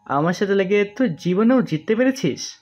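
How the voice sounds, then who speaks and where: A man's voice: sits high, expressive, normal speed, a little mellow. A man playing a sly, teasing young man in an audio romance story. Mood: smug, teasing. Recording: dull, muffled sound.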